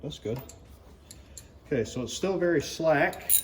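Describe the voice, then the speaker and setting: A man's voice: a little high, expressive, measured pace, echoey room, slight background noise. A man chatting casually as he hosts a DIY car build vlog, thinking aloud and muttering to himself as he works.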